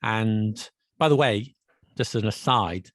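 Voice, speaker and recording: Chatty English-teacher podcast host, dry sound. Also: male voice, on the low side, dry recording. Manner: chatty, restarts and laughs, quick asides. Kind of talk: casual podcast chat about language. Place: Paris.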